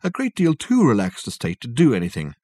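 A man's voice: medium pitch, wildly swooping pitch, brisk, a little mellow, dry recording, voice pushed up. A man voicing a genial, vague, amused male professor in an audiobook, with a rambling donnish manner.

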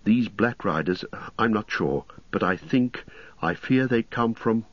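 A male reader voices a weary young hobbit in a fantasy storybook. He is courteous, earnest and worried, with a slightly husky tone. The sound is dry and a little muffled. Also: fairly low pitch, animated, unhurried, dry recording, pitched above the performer's own voice.

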